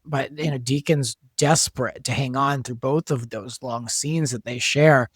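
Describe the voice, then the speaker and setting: A man's voice: mid-range voice, fairly even intonation, unhurried, breathy edge, no echo. Man in casual talk, dry close sound. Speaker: podcast guest, audio soap writer and director. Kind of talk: casual discussion of a drama production.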